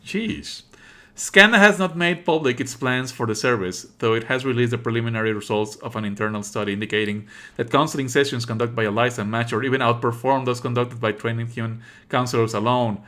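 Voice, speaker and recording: A man's voice: medium pitch, brisk, pushed and bright. A man streaming a let's play, reading on-screen text aloud and adding sarcastic, exasperated asides. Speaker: streamer playing a visual novel.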